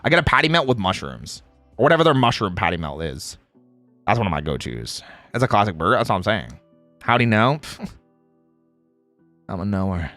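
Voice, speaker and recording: A man's voice: on the low side, big pitch swings, normal speed, pauses often, fairly bright tone, no room sound, soft background music. A man in a playful, casual livestream chat, reading out viewer comments and replying in slangy speech. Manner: playful, reads chat aloud and replies.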